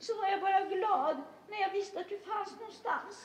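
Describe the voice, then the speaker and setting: An actress playing an ailing, fretful and reproachful mother in a 1950s theatrical family tragedy, with overwrought delivery. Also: very high-pitched. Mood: tender, tearful. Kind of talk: 1950s theatrical drama acting. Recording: echoey, distant, hissy 1950s stage-play recording.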